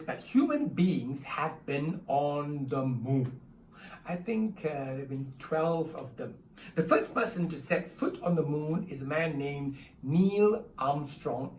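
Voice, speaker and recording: A woman presents stories to children. She chats inquisitively, prompting with questions. The sound is echoey and a bit distant from the microphone. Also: deep voice. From Singapore. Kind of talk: interactive children's storytelling chat. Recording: echoey room, distant mic, faint noise.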